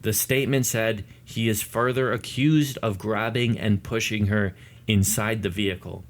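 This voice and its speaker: A man's voice: on the low side, fairly even intonation, moderate pace. A man hosting a news commentary video, explaining developments to his viewers. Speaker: video host. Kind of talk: news commentary to viewers, part read statement.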